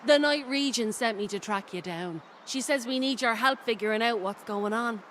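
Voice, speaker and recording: A woman's voice: fairly high pitch, normal speed, a bit bright, slight background noise, close to an everyday voice. An actress playing a brash, roguish pirate woman in a video game, with a wry, informal, teasing manner. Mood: reluctant, formal. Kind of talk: scripted video game character dialogue.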